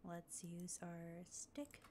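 A woman live-streaming a game, chatting casually with fillers and thanking followers, her voice slightly raspy as she comes down with a cold. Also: on the low side, animated, very slow, noisy background. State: coming down with a cold.

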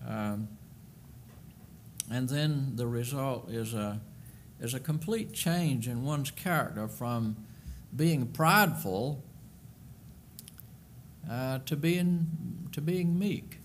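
A man's voice: medium pitch, slow. An older man delivers an earnest sermon into a pulpit microphone in a church sanctuary. He presses his points with emphasis, doubling back on words as he goes, and a faint hum of room ambience sits behind him. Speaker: preacher.

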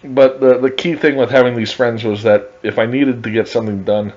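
A middle-aged man narrating his own restoration project over photos in a casual, halting way, with many fillers and restarts. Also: medium pitch, steady tone, darkish tone. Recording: dull lo-fi voice-over.

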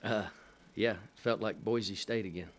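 Halting coach with a low voice. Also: male voice, fairly even intonation, a little hiss or hum. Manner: halting, many fillers and restarts, dry matter-of-fact humor. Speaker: head coach. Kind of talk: post-game press conference answers. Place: press room in front of a sponsor backdrop. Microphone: table microphone.

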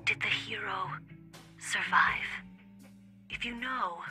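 Seductive, musing woman, unhurried breathy delivery, mid pitch, game monologue. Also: bright, forceful tone. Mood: musing, intimate. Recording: music behind the voice.

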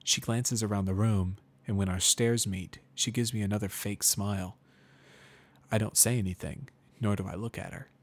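A man narrating a first-person story as a dramatic reading, in a measured, brooding manner. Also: on the low side, fairly even intonation.

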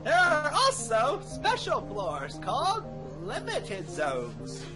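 A man's voice: extremely high pitch, wildly swooping pitch, slow, over loud music, heavily acted. A man reading game dialogue aloud as an old male guide who lectures on dungeon rules, theatrical and hammy. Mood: lecturing. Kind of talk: voicing game dialogue over a playthrough.

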